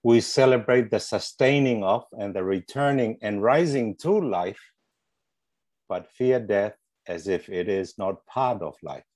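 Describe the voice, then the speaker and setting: A man's voice: fairly low pitch, unhurried, a little mellow, no room sound. A middle-aged man giving a scripted academic presentation over a headset on a video call. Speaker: panel presenter. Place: small room indoors, video call. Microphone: earphone headset.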